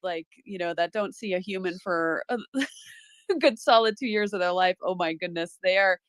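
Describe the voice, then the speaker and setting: A woman's voice: fairly low pitch, normal speed, no room sound. A woman telling a story in casual chat, giggling as she goes.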